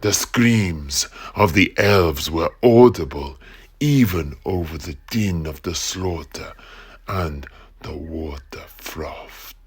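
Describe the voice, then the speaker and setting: A man acting as a grim adventurer telling a war tale in an audio play. His voice is low and badly rasping, with a crackle of fry, and he lingers on his words. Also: slow, exaggerated voice. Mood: grave, dramatic. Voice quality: husky, gravelly. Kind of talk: dramatic audio play narration.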